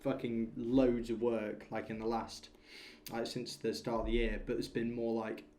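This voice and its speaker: A man's voice: mid-range voice, flat delivery, normal speed, mellow, slight background noise. A man of twenty-five co-hosting a podcast, talking casually and hesitantly with many fillers and laughs. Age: 20s. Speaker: podcast co-host. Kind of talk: casual chat.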